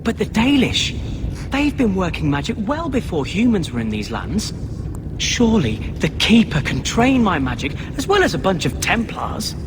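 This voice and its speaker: A man's voice: sits high, huge pitch swings, moderate pace, noisy background. An actor playing a young male elf mage on the run, bitter, wounded and indignant, in a video game. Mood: pleading.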